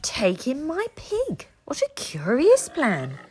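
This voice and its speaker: A woman voicing a fretful, grumbling little old lady from a children's storybook, pleading and wailing in a drawn-out, dramatic way. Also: high-pitched, wildly swooping pitch, a little mellow, husky, soft background music, voice pushed up, heavily acted. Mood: puzzled.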